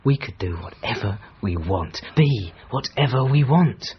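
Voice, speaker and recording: An actor in a radio drama playing a loyal, idealistic middle-aged travelling companion, earnest and pleading. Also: male voice, mid-range voice, expressive, unhurried, natural voice. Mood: hopeful, urging. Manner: earnest, urging delivery. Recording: dull, slightly noisy radio recording.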